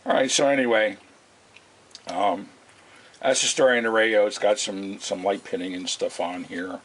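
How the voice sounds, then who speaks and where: A man giving a casual, unscripted demonstration as a video host, speaking in a halting, rambling way. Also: fairly low pitch, steady tone, fairly bright tone. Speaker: video host demonstrating vintage radio repair. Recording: hissy, noisy amateur home video.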